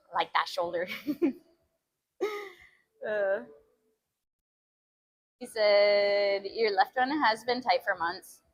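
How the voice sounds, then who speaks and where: A woman chatting casually with live viewers as a fitness stream host, giggly and animated as she talks between sets. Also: on the high side, low-quality recording. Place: gym, during a workout.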